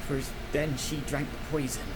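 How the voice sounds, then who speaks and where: A man's voice: a little high, little pitch movement, heavy background noise, lightly acted. Grief-stricken young fisherman, played by a man. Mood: distraught confession. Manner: anguished, halting, rustic speech. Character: grief-stricken young village fisherman, a self-described lout. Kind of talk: dramatic video game acting. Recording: music behind the voice.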